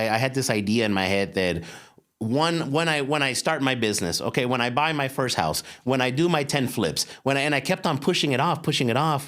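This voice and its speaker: A man's voice: medium pitch, a bit bright. A man in his forties from Los Angeles, a real estate investor and mindset coach, telling his story in a candid, casual interview. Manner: candid, storytelling, repeats words as he goes.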